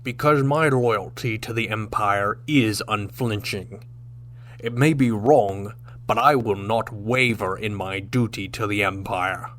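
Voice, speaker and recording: A man's voice: fairly low pitch, unhurried, fairly bright tone, slight background noise. Guy doing old klingon warrior, proud n stern, big formal honor-bound delivery, sci-fi audio play. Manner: formal, declamatory, unyielding. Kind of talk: sci-fi audio drama acting.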